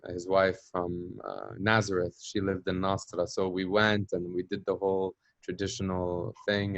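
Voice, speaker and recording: A man with an American accent speaks in a lowish voice, unhurried and reflective, often pausing on "um" and "you know" as he finds his words. The sound is dry and close, with a slightly dull, muffled top end. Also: a bit bright, dry recording. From Palestinian diaspora. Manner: reflective, searching for words, frequent fillers. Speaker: interview guest, journalist. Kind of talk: conversational storytelling.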